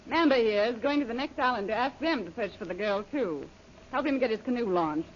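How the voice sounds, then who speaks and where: Woman playing an animated, commanding female gang boss. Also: on the high side, fairly quick, close to an everyday voice. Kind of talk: 1930s radio adventure acting. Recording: muffled, noisy old-time radio recording.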